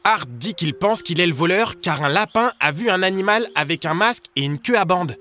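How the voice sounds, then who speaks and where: A man's voice: sits high, animated, a little fast, pushed and bright. An actor voicing an eager, friendly young boy in a French children's cartoon dub, speaking in an earnest, explanatory way. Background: music.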